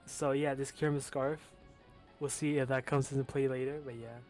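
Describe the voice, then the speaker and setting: A man's voice: medium pitch, level tone, normal speed, darkish tone. Young man, lisp, casual commentary, fillers and swearing, faint background music. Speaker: competitive game commentator.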